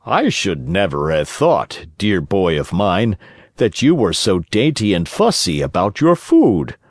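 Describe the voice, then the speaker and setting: A man voicing a kindly, patient old father in a storybook, speaking in a gentle, earnest, moralizing way. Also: sits low, huge pitch swings, unhurried, no echo, clean studio sound, exaggerated voice. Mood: mildly scolding.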